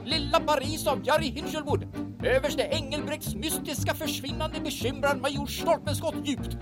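A woman's voice: high voice, fairly even intonation. A woman narrating a scripted recap for an audio drama serial, with music playing under her. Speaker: announcer.

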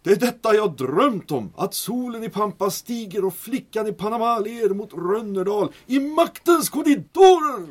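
A man's voice: high voice, animated, hardly any pauses. An actor playing a naive, starry-eyed male troubadour singer full of grand dreams. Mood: dreamy.

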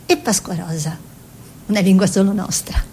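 An actress playing a proud, dreamy, sensual peasant-born woman who paints and models, speaking lyrically in theatrical radio drama style, often dropping to a breathy near-whisper. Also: medium pitch, fairly even intonation, normal speed, a little mellow, slight background noise, close to an everyday voice. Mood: wistful.

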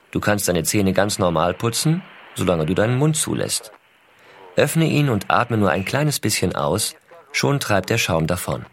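Very deep-voiced astronaut father. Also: slight background noise. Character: American astronaut father writing tenderly to his small son. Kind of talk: dramatized reading of letters.

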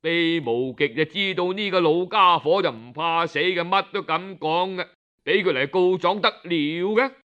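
A man with a Cantonese accent telling a story in a traditional oral style, colloquial and expressive, with drawn-out particles. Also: high-pitched, clean tone, lo-fi sound.

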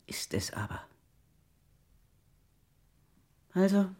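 A woman's voice: lowish voice, sing-song, a little fast, mellow. An actress playing a steadfast, reproachful older foster mother in a German radio drama, speaking firmly and insistently. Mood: defiant.

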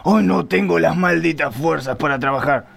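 A man playing a crude, lazy, grumbling police inspector with a gravelly voice in a comic radio play. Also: on the high side, moderate pace, fairly bright tone, heavily acted. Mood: grumpy.

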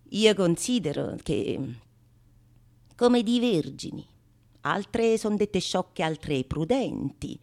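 An actress playing a shrewd, mocking courtesan in a theatrical radio monologue, declamatory and sardonic. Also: female voice, low voice, exaggerated voice. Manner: declamatory, sardonic, swinging from sung refrains to hushed asides. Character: shrewd, mocking, calculating courtesan scorning her besotted suitor. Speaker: stage actress performing solo.

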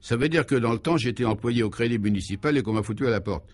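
A man's voice: mid-range voice, quick pace, natural voice. A man voicing a young, distinguished government attaché, formal, obliging and affected, in a comic radio serial. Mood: ceremonious.

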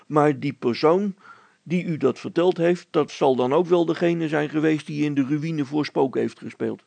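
A man's voice: mid-range voice, vocal fry, a little mellow, no echo. A man voicing an indignant, stubborn village policeman in a storybook, blunt and emphatic. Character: indignant, stubborn male village policeman, plain-spoken and earnest.

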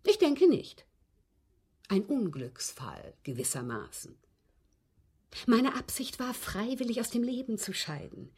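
Haughty old lady with a husky, swooping voice. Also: a little high, sing-song, a little slow, pauses often, a little mellow, heavily acted. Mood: composed, grave. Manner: precise, formal, haughty, dryly scornful. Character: proud, articulate old English widow, coolly confessing to police. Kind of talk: radio drama acting.

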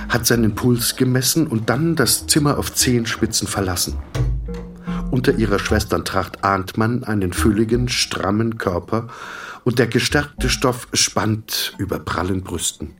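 A man reading scripted literary narration for a radio drama in a measured, sober manner. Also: on the low side, expressive, fairly bright tone, far from the mic.

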